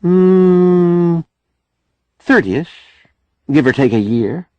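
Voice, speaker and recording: A man's voice: high voice, lively intonation, very slow, smooth, no room sound, lo-fi sound, pitched above the performer's own voice, heavily acted. A man voicing a kind, frank younger woman in a novel, speaking in an earnest, explanatory way. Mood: tactful.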